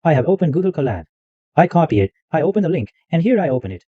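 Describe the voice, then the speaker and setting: A man hosting a screen-recorded software tutorial, narrating every click step by step in loose, repetitive wording. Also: fairly high pitch, big pitch swings, fast, husky, no echo. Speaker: tutorial host. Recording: dull lo-fi dry mic sound.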